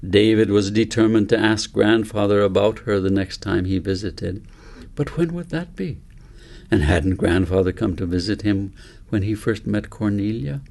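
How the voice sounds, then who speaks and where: Low, gravelly man reading a story. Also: normal speed, darkish tone. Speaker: author reading own book. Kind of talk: audiobook story narration.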